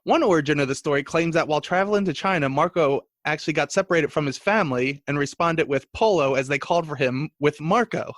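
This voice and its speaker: A man's voice: on the high side, quick pace, few pauses, a bit bright, no room sound, studio quality. A man chatting casually and jokingly as a guest co-host on a comedy show.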